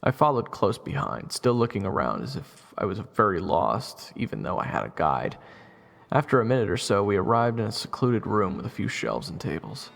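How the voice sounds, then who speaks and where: A man's voice: on the low side, big pitch swings, normal speed, vocal fry, a bit bright, full character voice. A man playing a flippant, evasive young adventurer in an audio roleplay, wry and casual with awkward hesitations. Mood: wry narration. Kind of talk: audio roleplay acting with first-person narration.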